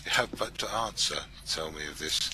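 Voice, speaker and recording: A man's voice: extremely low pitch, moderate pace, sharp tone, slight background noise, poor audio. A man reciting a poem aloud in the style of a poetry reading.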